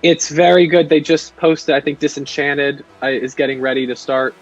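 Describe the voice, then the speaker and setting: A man's voice: on the high side, fairly even intonation, normal speed, dull audio, a crowd in the background. A man guest in a casual chat, talking thoughtfully at length and restarting his sentences as he works through ideas.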